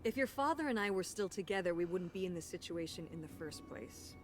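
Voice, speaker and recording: A woman's voice: lowish voice, animated, a little fast, faint music behind, close to an everyday voice. A woman playing a weary, wry middle-aged mother in a naturalistic video game drama, with a dry, defensive manner. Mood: bitter, exasperated.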